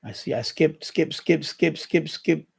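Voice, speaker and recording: A man's voice: fairly high pitch, measured pace, creaky, slightly rough. An older man hosting a live stream with casual, rambling chat, often hesitating and repeating himself.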